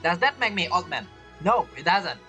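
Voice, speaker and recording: A man's voice: high-pitched, sing-song, normal speed, bright, forceful tone, faint music behind. A young man giving chatty, playful commentary over a game playthrough. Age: young adult. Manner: chatty, playful, reacts to the characters. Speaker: gaming streamer.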